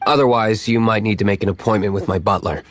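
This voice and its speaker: A man's voice: fairly low pitch, steady tone, fast, slight background noise, close to an everyday voice. An actor playing an aloof, brooding young nobleman in an anime-style game, cool, curt and serious.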